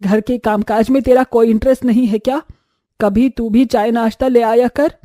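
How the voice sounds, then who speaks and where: A woman's voice: a little high, steady tone, moderate pace, warm tone, no echo, studio quality, natural voice. A woman playing a stern, demanding older mother-in-law in a cartoon family story, scolding as she talks. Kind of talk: Hindi cartoon story acting.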